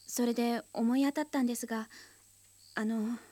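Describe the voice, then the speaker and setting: Actress as a humble maid, hesitant, mellow, dry close sound. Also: female voice, on the high side, fairly even intonation, normal speed, no echo, natural voice. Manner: hesitant, humble formal speech. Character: deferential female household servant.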